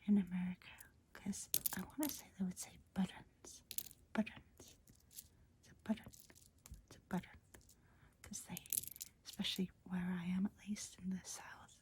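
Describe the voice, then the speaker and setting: A woman hosting an ASMR video, chatting in a gentle, unhurried whisper and softly repeating words. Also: medium pitch. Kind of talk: whispered ASMR, chatting while sorting buttons.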